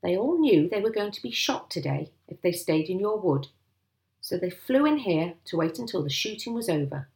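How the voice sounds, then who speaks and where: A twinkly, sly father from a storybook, played by a woman. He stays soft-spoken and unruffled, making his case with mock earnestness. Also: lowish voice, sing-song, moderate pace. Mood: calm, teasing. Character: calm, sly, twinkly father, adult man.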